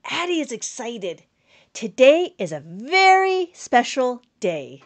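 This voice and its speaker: A middle-aged woman reading a children's counting book aloud as a teacher, animated and sing-song, drawing out words for young children. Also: sits high, slow pace. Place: small indoor room at home.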